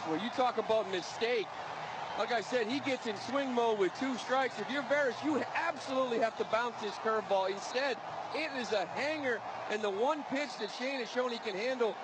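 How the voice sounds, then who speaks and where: A man breaks down baseball play on the radio in a very high-pitched voice. He sounds conversational and technical, with clear ballpark noise behind him. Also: normal speed. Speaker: baseball radio color commentator. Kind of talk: conversational technical game analysis. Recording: noisy ballpark radio broadcast.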